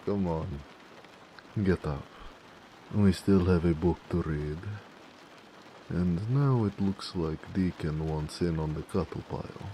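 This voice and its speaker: A man playing a gentle, teasing orc blacksmith in a romantic audio roleplay, with an affectionate manner. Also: slight background noise, rough recording. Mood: tender. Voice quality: deep, gravelly. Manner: affectionate, playfully sarcastic, unhurried.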